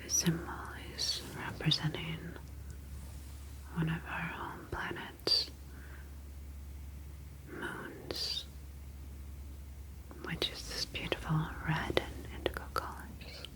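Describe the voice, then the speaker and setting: Gentle alien caretaker from an undersea world, played by a woman, whispered ASMR roleplay, formal and soothing, very even delivery. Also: deep voice, low-quality recording. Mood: soft, attentive. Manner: soothing, slow, deliberate, formal phrasing. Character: gentle reassuring humanoid ocean alien caretaker-scientist.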